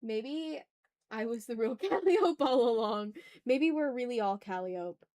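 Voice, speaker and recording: A woman's voice: fairly high pitch, animated, unhurried, dark tone. Casual woman streamer, thinking aloud. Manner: informal, thinks aloud, mutters to self. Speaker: art livestream host. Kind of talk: casual livestream chat while drawing.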